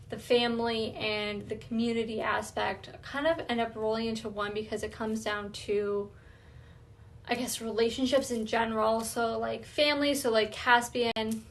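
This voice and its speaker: A woman's voice: on the high side, a little hiss or hum. A woman giving an earnest, reflective self-awareness talk, thinking aloud as she goes. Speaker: wellness vlogger.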